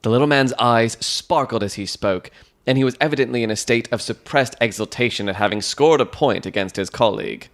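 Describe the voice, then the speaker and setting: A man's voice: mid-range voice, brisk. A man reading scripted first-person narration for a dramatized detective story.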